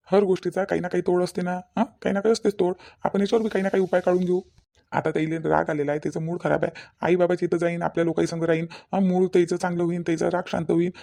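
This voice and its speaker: A loud, pushy young best friend played by a man in a cartoon, high-pitched and quick. He is good-hearted but insistent, teasing and emphatic in a Varhadi Marathi accent. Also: gravelly. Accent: Varhadi Marathi from Vidarbha. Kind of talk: cartoon phone-call dialogue.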